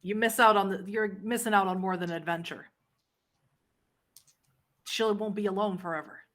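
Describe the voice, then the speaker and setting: A woman's voice: mid-range voice, fairly even intonation, moderate pace, fairly bright tone, natural voice. A woman voicing a desperate, unkempt vampire runaway who speaks warily and insistently in a tabletop roleplay game. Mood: grim, defiant.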